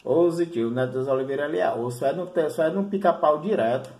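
A man's voice: fairly high pitch, quick pace, darkish tone. A man in casual radio chat, explaining things colloquially and restating his points. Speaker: amateur radio operator. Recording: echoey room recording.

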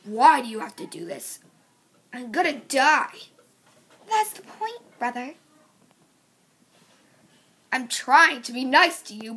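A woman's voice: sits high, a bit bright, heavily acted. A woman voicing a young apprentice cat arguing with a sibling in an exasperated manner. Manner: exasperated, argumentative.

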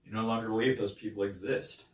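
An actor playing an indignant young painter's assistant in an impassioned, scornful stage monologue, moving dramatically between loud and hushed delivery. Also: male voice, fairly low pitch, steady tone, fast talker, lightly acted. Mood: quiet bitter disappointment. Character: indignant young male painter's assistant berating his mentor. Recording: roomy echo, distant microphone.

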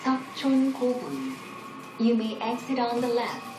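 A woman reading a formal, deliberate scripted subway announcement. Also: fairly high pitch, animated, loud music behind the voice. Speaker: train announcer. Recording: echoey, loud train noise. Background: moving train noise.

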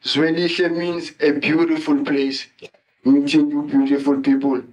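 A man's voice: a little high, little pitch movement, unhurried, a little mellow, rough recording. A young man with a South African accent introducing himself to an audience. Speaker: member of a drumming group. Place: function room, on stage before diners. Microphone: handheld microphone.

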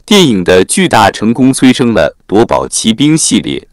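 A man's voice: on the low side, big pitch swings, moderate pace, dry recording, studio quality. A man reading a scripted film plot summary as a narrator.

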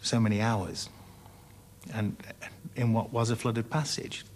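A man's voice: fairly low pitch, level tone, a little slow, darkish tone. A man in his forties giving a reflective interview as a rescue diver. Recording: clear background noise.

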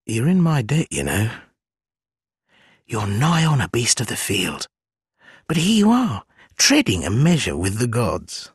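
A man voicing a sly, gleeful spider creature in a gothic fantasy game, husky and theatrical, with wheedling, archaic phrasing. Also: medium pitch, huge pitch swings, a little slow, long pauses, no echo, studio quality, full character voice. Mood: mocking. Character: sly, gleeful, obsequious spider-bodied trickster zealot.

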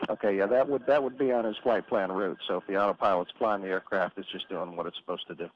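Brisk male controller over radio. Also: on the low side, fairly even intonation. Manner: brisk, procedural, with filler pauses. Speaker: air traffic controller. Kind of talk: radio phraseology. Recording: muffled radio transmission with hiss.

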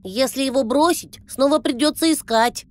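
A woman's voice: high voice, normal speed, very noisy, lightly acted. A woman voicing a timid, skeptical, bookish little boy creature in a cartoon, with a fussy, hesitant manner. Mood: nervous, reluctant. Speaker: cartoon character. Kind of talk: animated cartoon acting. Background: music.

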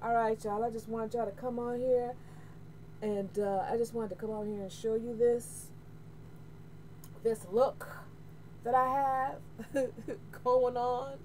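A middle-aged American woman chatting casually to camera, candid and expressive, repeating phrases for emphasis. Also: on the high side, takes it slowly, long pauses. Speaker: hair vlogger. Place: small room at home, indoors. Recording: noisy home webcam audio.

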